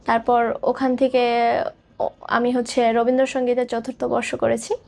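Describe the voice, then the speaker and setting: A woman's voice: on the high side, monotone, moderate pace, creaky, a little mellow. A woman, a singer giving thoughtful, somewhat hesitant answers as an interview guest.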